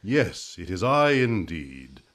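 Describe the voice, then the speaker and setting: Very deep, slow, solemn undertaker. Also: male voice, sing-song, a bit bright, heavily acted. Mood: solemn, morbid. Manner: slow, solemn, formal diction. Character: gloomy, genteel undertaker friend, solemn and courtly. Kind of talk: old-time radio comedy acting.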